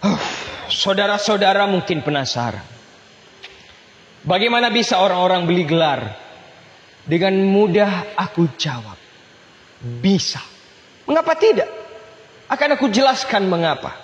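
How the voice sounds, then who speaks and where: An actor playing a pompous, scheming fake university rector in a satirical stage monologue, declaiming grandly with drawn-out words and sudden swings. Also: male voice, sits high, very animated, highs cut off. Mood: smug. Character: pompous scheming fake-degree university rector, self-styled saviour god. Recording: echoey hall, reverberant stage sound.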